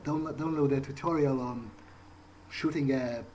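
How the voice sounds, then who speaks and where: A man's voice: mid-range voice, fairly even intonation, a little mellow, a little hiss or hum, lightly acted. A man playing a newly turned vampire, thinking aloud in a hesitant, pragmatic, wary way as he role-plays a video game. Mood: curious musing. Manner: hesitant, halting musing. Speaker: gamer role-playing his character. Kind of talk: in-character gameplay thinking aloud.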